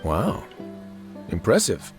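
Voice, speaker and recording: A man's voice: low-pitched, wildly swooping pitch, slow pace, full character voice. An actor playing a good-humored, teasing man in casual video game dialogue, with guitar music behind him. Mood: amused. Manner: teasing, wry.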